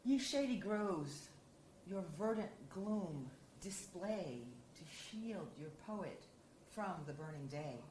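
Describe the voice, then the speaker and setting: A woman editor reading poetry aloud at a symposium, measured and deliberate. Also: medium pitch, lively intonation, a little mellow. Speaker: anthology editor and reader. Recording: roomy hall with faint hiss.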